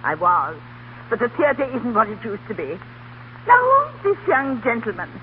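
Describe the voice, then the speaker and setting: A theatrical older aunt in a vintage radio drama. She is grand, gushing and bossy, her high voice swooping dramatically. It comes through thin and hissy, like an old broadcast. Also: big pitch swings, normal speed. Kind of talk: 1930s radio acting. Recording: tinny, noisy 1930s radio broadcast.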